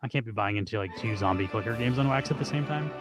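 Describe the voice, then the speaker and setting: A hoarse man co-hosting a stream, chatting casually and rambling with lots of "like". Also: lowish voice, fast, over music. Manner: rambling, heavy use of "like". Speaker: streamer co-host.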